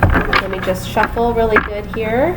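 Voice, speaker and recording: A woman's voice: a little high, measured pace, very noisy. A woman with a sinus infection giving a casual tarot reading to camera, sounding stuffy and nasal, apologetic and chatty with many fillers. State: sick with a sinus infection, sniffly. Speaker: tarot reader.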